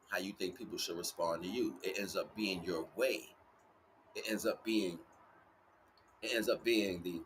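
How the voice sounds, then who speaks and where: A man's voice: on the low side, fairly bright tone, slight background noise, poor audio. A man giving a rambling motivational spiritual talk in casual, sweary street slang, emphatic and repeating his phrases. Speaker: livestream spiritual teacher.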